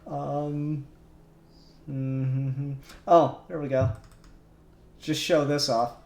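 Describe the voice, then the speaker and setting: Slow, muttering man streaming his modelling work. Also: medium pitch, level tone, creaky, a little mellow. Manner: self-conscious, muttering to himself as he works. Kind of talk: casual live-stream talk while 3D modelling. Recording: echoey room, faint music behind.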